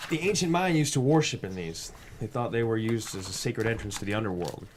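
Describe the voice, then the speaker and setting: A man's voice: fairly low pitch, lively intonation, fairly quick, natural voice. A man playing a cynical, crude diver for hire in a script table read, blunt and dismissive. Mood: cynical, musing. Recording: faint background noise.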